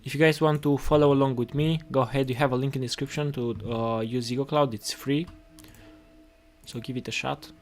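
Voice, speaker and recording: A man from Romania hosting a casual live coding tutorial, halting and repeating his words as he goes. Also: medium pitch, steady tone. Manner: halting, repeats words while reading chat. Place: indoors at a computer desk. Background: music playing, keyboard typing.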